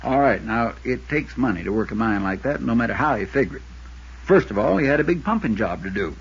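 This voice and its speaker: A man's voice: mid-range voice, lively intonation, breathy edge. Folksy insurance man, muffled old radio. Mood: earnest, animated. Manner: folksy, drawn-out storytelling. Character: folksy, long-winded small-town Arizona insurance agent. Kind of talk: 1950s radio drama acting. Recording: muffled 1950s radio recording.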